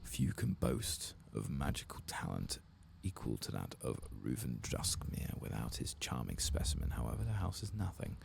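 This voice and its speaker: Guy whisper-reading an earnest elf noble rebel from a fantasy game, formal, very creaky, noisy behind. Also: very deep voice, huge pitch swings, normal speed, hardly any pauses, exaggerated voice. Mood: grave. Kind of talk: whispered read-aloud of game dialogue.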